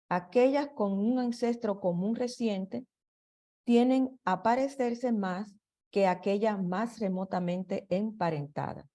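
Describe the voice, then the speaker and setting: Slow, halting woman giving a lecture. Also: mid-range voice, warm tone. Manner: slow and halting, with restarts and fillers. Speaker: presenter. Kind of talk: slide presentation lecture.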